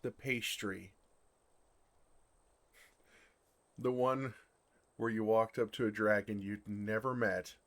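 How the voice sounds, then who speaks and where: A man playing a bashful, lovestruck male dragon in human form for an ASMR fantasy roleplay, speaking in a halting, earnest way. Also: lowish voice, a bit bright. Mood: flustered, embarrassed. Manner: flustered, halting, earnest delivery.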